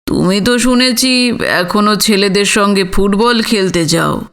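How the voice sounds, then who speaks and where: A woman voicing an elderly male village physician, grave, tender and sorrowful, speaking gently and solemnly with weighty pauses in an audio story. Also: medium pitch, voice pushed down. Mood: bashful, tender. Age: older.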